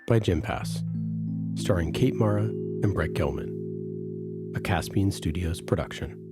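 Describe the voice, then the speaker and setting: A man's voice: deep voice, unhurried. A man reading a scripted podcast sponsor spot as the announcer, over guitar music.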